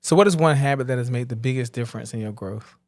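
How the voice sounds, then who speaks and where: A man's voice: medium pitch, fast, no room sound. Encouraging male interview host. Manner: encouraging, short affirmations. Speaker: podcast host. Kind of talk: interview questions.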